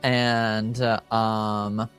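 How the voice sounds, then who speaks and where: Slow, halting low-voiced man as game master. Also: level tone, a bit bright, smooth, faint music behind. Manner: halting, pausing often between phrases. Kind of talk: casual recap for a streamed tabletop game.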